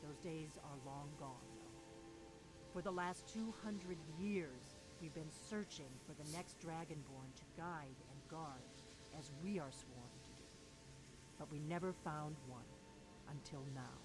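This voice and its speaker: A woman's voice: low voice, takes it slowly, rough recording. An actress playing a blunt, determined woman warrior of an old secret order in a fantasy video game, speaking earnestly and conspiratorially. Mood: solemn, resolute. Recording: game audio under loud music.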